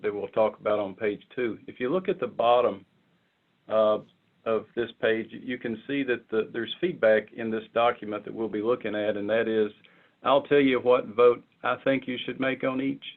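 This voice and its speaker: A man informally presenting on a conference call, explaining step by step in a halting way and often repeating words. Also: medium pitch. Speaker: committee member presenting proposals. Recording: muffled narrow phone-like conference line.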